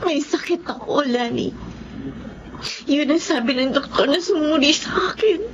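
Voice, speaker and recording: A woman's voice: high voice, moderate pace, a little hiss or hum, close to an everyday voice. An actress playing a distraught, selfless young working woman and eldest sister in a Filipino melodrama, pleading and emotional. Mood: tearful. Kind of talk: Filipino radio-style melodrama acting. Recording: muffled lo-fi audio, loud music underneath.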